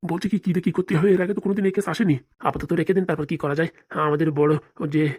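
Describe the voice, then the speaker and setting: Man acting a timid police officer, comedy cartoon, very rapid, hesitant, fretful, deferential, dry sound. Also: a little high, steady tone, darkish tone, no echo, close to an everyday voice. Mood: nervous, deferential. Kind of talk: comic cartoon dialogue.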